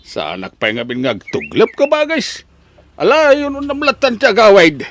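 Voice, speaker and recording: A man's voice: high-pitched, wildly swooping pitch, normal speed, fairly bright tone, full character voice. A man with a Filipino accent playing a proud, hardworking, doting farmer father in an audio drama. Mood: hopeful, proud. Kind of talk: Ilocano audio drama acting. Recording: faint background noise.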